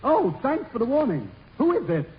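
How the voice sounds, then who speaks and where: An actor playing a silly, exasperated prison warden in a 1930s radio comedy, clownish and given to muttered sarcastic asides. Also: male voice, very high voice, wildly swooping pitch, moderate pace, full character voice. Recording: muffled, noisy 1930s radio broadcast.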